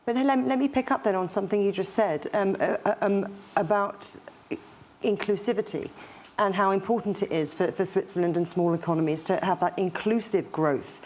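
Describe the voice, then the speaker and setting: A woman moderating a panel, steering the next question with hesitant pauses. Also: medium pitch, a little mellow, a little hiss or hum.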